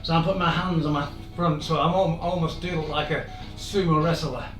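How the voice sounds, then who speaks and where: Hoarse man coaching a home workout. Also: on the high side, steady tone, brisk, fairly bright tone, far from the mic. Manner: chatty, encouraging, rambling asides. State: hoarse, losing his voice. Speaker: fitness instructor. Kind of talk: live-streamed workout coaching. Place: room in an old house. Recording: echoey room, off-mic, music behind.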